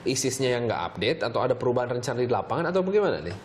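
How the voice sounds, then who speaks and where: A man's voice: medium pitch, big pitch swings, very fast, a little hiss or hum. A man hosting a live news talk show, putting questions to a guest. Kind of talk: live interview presenting.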